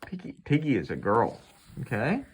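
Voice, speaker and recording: A man teaching English through an expressive read-aloud, breaking sentences into short phrases for a young learner to repeat. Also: mid-range voice, moderate pace, a little hiss or hum. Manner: animated, expressive storybook reading.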